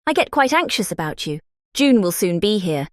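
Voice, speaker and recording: A woman playing a pushy, self-important married gentlewoman in an audiobook drama, with a British accent and a gushing, patronising manner. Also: medium pitch, big pitch swings, moderate pace, dry recording, clean studio sound, close to an everyday voice. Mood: anxious, pressing. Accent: British English. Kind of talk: dramatized classic novel audiobook.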